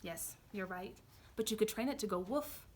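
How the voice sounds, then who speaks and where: A woman's voice: mid-range voice, moderate pace, a little mellow, slight background noise, natural voice. A woman reading as an eager shelter man. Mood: apologetic. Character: patient male animal shelter keeper, eager to please.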